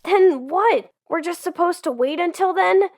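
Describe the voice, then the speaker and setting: A woman's voice: very high voice, unhurried. A woman voicing an earnest, impulsive young electric cub Pokémon in a fan comic dub. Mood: pleading. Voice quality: earnest and excitable.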